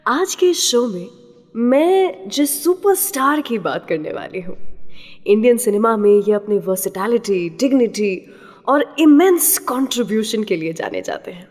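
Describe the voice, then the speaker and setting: A woman's voice: a little high, lively intonation, unhurried, darkish tone, faint music behind. A woman with an Indian accent hosting a scripted radio show, speaking straight to the listeners. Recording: long hall-like reverb.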